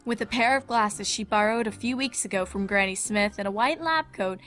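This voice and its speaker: A woman's voice: mid-range voice, fairly quick, a bit bright, faint music behind. A woman reading fan fiction aloud as scripted story narration.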